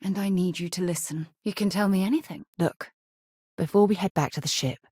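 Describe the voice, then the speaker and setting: A husky, composed space explorer leader. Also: female voice, on the low side, darkish tone, no echo, clean studio sound. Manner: measured, formal and earnest. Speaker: video game character. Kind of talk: game voice lines.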